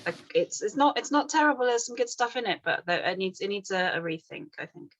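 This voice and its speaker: A woman's voice: mid-range voice, expressive, a little fast. Tentative woman offering casual feedback. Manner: tentative, softening criticism. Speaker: script reading panelist. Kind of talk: casual unscripted critique.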